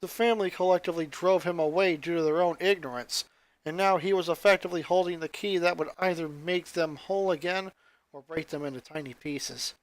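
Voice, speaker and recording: A man's voice: fairly high pitch, steady tone, fairly quick, poor audio. A man reading a piece of fan fiction aloud as narrator, in scripted story style.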